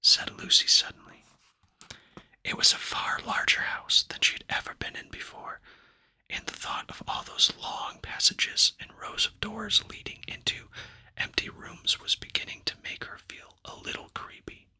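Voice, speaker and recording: A man whispering in a breathy, hushed and soothing way, as an ASMR host reading a book aloud. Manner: slow, soothing, hushed delivery.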